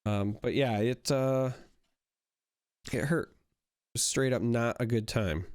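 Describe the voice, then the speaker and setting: A man's voice: mid-range voice, slow pace, darkish tone, dry recording, clean studio sound. A young man chatting casually while opening card packs, rambling with lots of fillers and restarts, his voice still a little worn from being sick. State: recovering from illness, voice not fully back. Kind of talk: casual pack-opening commentary.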